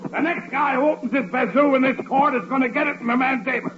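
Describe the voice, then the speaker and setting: An actor playing a pompous, indignant, bullying prosecutor and judge in a 1940s radio comedy. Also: male voice, very high-pitched, quick pace. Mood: pompous, accusing. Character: blustering, bullying male prosecutor and judge in courtroom farce. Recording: dull, noisy vintage radio recording.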